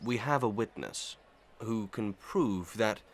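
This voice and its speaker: A man plays a measured magistrate in a Victorian audio melodrama. His low voice is stern and formal, weighing each phrase with official care.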